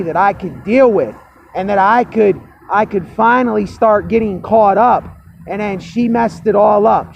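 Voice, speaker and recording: A hoarse man with a cracking voice ranting angrily to camera, repeating himself and swearing. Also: high voice, darkish tone, lo-fi sound, slight background noise. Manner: fired-up, repetitive, swearing. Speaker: vlogger. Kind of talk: angry personal rant to camera.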